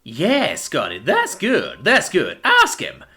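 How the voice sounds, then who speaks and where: A man's voice: fairly high pitch, huge pitch swings, slow pace, pushed and bright, voice pushed up, heavily acted. A man voicing a crazed, vengeful father mouse in a story, ranting in a righteous, theatrical way. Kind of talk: dramatic storybook character voice.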